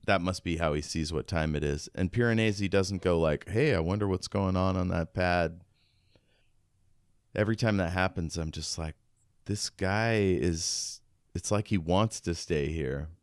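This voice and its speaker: A man's voice: sits low, a little slow, no echo, clean studio sound. A man co-hosting a podcast, casually discussing a book in an informal, musing way. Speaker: podcast co-host.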